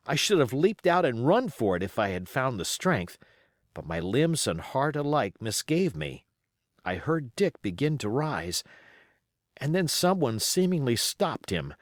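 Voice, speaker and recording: A man reading an adventure story aloud as an expressive audiobook narrator. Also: mid-range voice, dry recording, studio quality. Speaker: audiobook reader.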